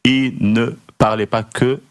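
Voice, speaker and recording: A man on a radio discussion, explaining history slowly and deliberately, with frequent hesitations. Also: mid-range voice, steady tone. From Morocco. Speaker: radio host.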